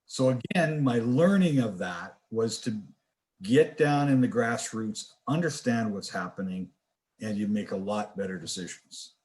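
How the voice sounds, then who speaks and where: A man's voice: on the low side, unhurried, darkish tone, poor audio. A man on a forum panel telling a work anecdote in a plainspoken, reflective way.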